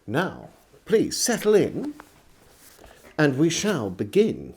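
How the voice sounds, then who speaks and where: A man narrates in a storyteller's style, with a very lively, swinging pitch. His delivery is theatrical and measured, giving formal old phrasing expressive emphasis as he reads a historical diary aloud. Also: breathy edge.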